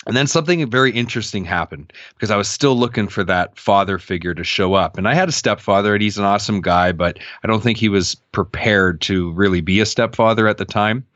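Man, 30s, low-pitched, earnest, reflective, dry dull lo-fi sound. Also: brisk, few pauses, no echo. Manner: earnest, reflective, animated when quoting others. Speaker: podcast guest. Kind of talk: candid personal storytelling.

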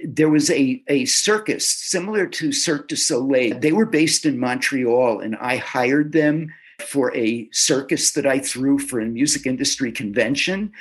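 Man, husky voice, mid pitch, casual interview talk, restarts sentences. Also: poor audio. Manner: halting, repeats words when starting. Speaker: author guest.

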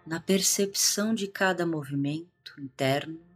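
A Brazilian woman leads a guided meditation in a low, breathy voice. She is calm and hushed and takes her time, leaving long pauses between phrases over music. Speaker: meditation guide, integrative therapist. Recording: music behind the voice.

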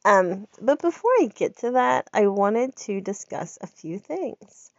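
A woman's voice: medium pitch, very animated, unhurried, no room sound, dull audio. A woman, congested from a head cold, chatting in a rambling, upbeat way as a podcast host. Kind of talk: casual personal chat.

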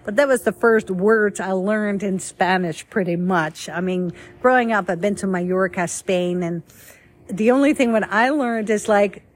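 A middle-aged woman from the Netherlands, casually telling her own life story in a chatty, lively way. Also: medium pitch, moderate pace. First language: Dutch. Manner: chatty and lively, acts out quoted dialogue.

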